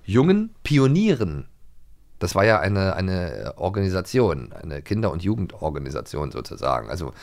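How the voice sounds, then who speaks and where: A middle-aged man from the former East Germany, co-hosting a podcast in casual chat, animated, often restarting and repeating words. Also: deep voice, normal speed. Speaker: podcast co-host.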